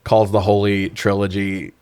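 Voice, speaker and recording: A man in his forties with a fairly low voice talks like a co-host on a comedy review show. He is sarcastic and swears freely as he recaps in loose, casual chat. The sound is dry, with very little room in it. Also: little pitch movement, normal speed, a little mellow, smooth, no echo. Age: 40s. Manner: profane, sarcastic. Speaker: podcast co-host reviewing films.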